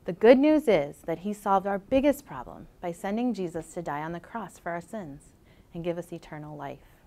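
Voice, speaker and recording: A middle-aged woman teaching a children's church lesson on a lapel mic, earnest and encouraging, then praying softly. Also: lowish voice, animated, moderate pace. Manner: earnest, gentle and encouraging, softening into prayer. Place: indoor studio with a cartoon bedroom backdrop.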